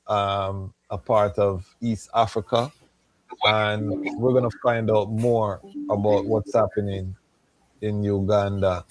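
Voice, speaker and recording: A man's voice: medium pitch, dry recording. Casual male live-show host. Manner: informal, conversational, with frequent fillers.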